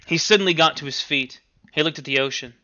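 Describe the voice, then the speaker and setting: A man narrates a short story in a bright, forceful voice that sits a little high. His delivery is expressive and animated, like a teacher reading aloud to a class. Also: quick pace, lo-fi sound.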